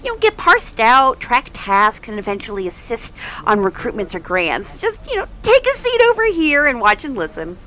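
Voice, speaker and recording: A brisk, weary charity coordinator, played by a woman in an audio drama, her pitch rising and falling a lot as she explains the job. She sounds businesslike and a touch stern. Faint noise hums behind her. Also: wildly swooping pitch, sharp tone, heavily acted. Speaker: department coordinator Jan.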